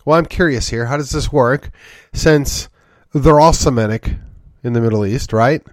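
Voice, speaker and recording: A man's voice: medium pitch, moderate pace, no echo. A man hosting a solo political commentary podcast, congested from a sinus infection, rambling and wry with sighs and short laughs. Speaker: podcast host.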